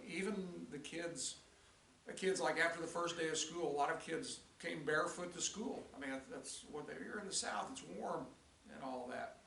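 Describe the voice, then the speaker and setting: An older male teacher recapping a lesson in a rambling way with many restarts, his voice raspy and tired. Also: a little high, sharp tone, far from the mic. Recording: echoey room, voice from off-mic.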